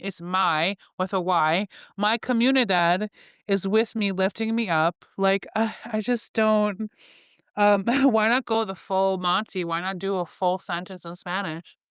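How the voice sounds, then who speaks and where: A woman's voice: mid-range voice, a bit bright, clear, resonant tone, no echo. Chatty, self-mocking woman in a very dry room. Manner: chatty, self-mocking, laughs at her own slips. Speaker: podcast host. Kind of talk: casual solo podcast, reading affirmations aloud. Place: her bedroom, in bed.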